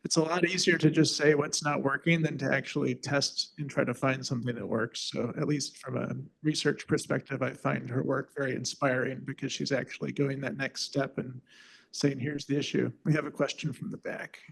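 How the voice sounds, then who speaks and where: A man's voice: medium pitch, steady tone, normal speed, creaky, slightly rough, low-quality recording. A man moderating a medical conference session, thanking the speaker and taking questions, earnest in tone.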